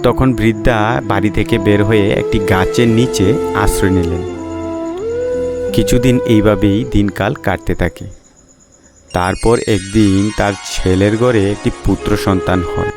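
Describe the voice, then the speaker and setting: A man's voice: on the low side, steady tone, a little slow, loud music behind the voice. A man narrating a scripted folk tale for a cartoon.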